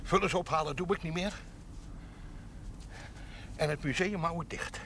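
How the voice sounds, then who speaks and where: An actor playing a lonely, gruff rural man who speaks in short, plain, colloquial phrases in a Dutch period TV drama. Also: a little high, measured pace, sharp tone, slight background noise. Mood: tender, mournful. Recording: 1970s TV soundtrack with music.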